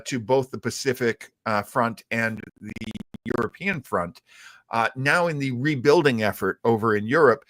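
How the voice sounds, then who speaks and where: A man hosting a talk show, explaining things in a halting, thoughtful, conversational way. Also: medium pitch, fairly bright tone, dry recording. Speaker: host of a retro radio show.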